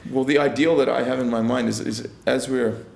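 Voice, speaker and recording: Very gravelly man in a roomy space. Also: medium pitch, fairly even intonation, brisk, noisy background. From South Africa. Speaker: co-host. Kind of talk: casual, philosophical chat. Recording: roomy echo on voice.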